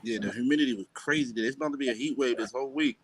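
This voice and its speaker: A man's voice: medium pitch, rapid-fire, slight background noise. A man co-hosting a casual wrestling talk show, easygoing and slangy. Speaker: co-host.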